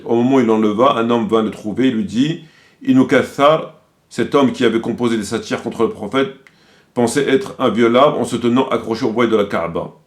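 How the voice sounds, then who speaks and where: A man delivering critical commentary, quoting sources didactically and repeating his points for emphasis. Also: medium pitch.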